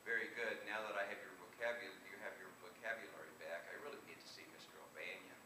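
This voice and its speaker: A man's voice: deep voice, steady tone, fast talker, lightly acted. Man reading as formal, impatient grim reaper, echoey. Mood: dryly impatient. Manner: formal, insistent. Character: tall hooded grim reaper, formal, impatient, dryly polite. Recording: echoey distant live room recording, noisy.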